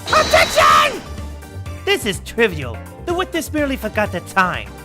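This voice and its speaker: A man's voice: high voice, huge pitch swings, normal speed, music in the background. A man playing a fussy, dismissive prosecutor speaking formally in a courtroom game fan dub. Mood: indignant. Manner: formal, pedantic.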